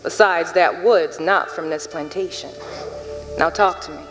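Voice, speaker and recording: An actress playing a wary, loyal enslaved wife and house servant in a stage drama, firm and probing. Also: female voice, on the low side, measured pace, lightly acted. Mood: probing, knowing. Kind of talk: theatrical stage drama acting. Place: large indoor theater stage. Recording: reverberant theater with music underneath.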